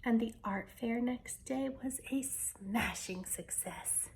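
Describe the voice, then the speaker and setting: A woman reading a children's picture book aloud with expressive, dramatic storytelling delivery. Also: fairly high pitch, takes it slowly, in a whisper.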